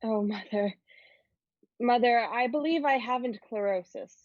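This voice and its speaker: A naive, frightened young teenage girl in a period stage drama , acted by a woman. Her voice is a little high and her lines come earnest and halting. The recording is dry and slightly muffled. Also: dry recording, lightly acted. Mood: anxious questioning. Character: frightened, naive, ailing fourteen-year-old girl. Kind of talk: dramatic reading of a 19th-century play.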